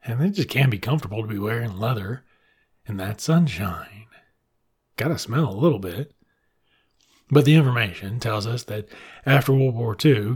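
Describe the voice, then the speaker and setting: A middle-aged American man from Mississippi with a husky voice, giving comic commentary in an irreverent, sardonic, swearing manner. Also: mid-range voice, darkish tone. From Mississippi, United States.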